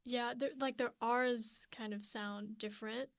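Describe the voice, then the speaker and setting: Young woman, high-pitched, casual podcast guest, informal chatty delivery, dry close studio sound. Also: fairly even intonation, unhurried, no echo. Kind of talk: casual podcast chat among friends. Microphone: close studio microphone.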